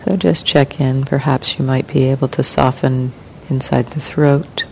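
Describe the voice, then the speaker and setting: Low-voiced woman guiding meditation, rumbly recording. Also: low-quality recording. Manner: calm, gentle, unhurried instruction. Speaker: meditation teacher. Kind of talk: guided meditation with long pauses. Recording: clear rumbling background noise.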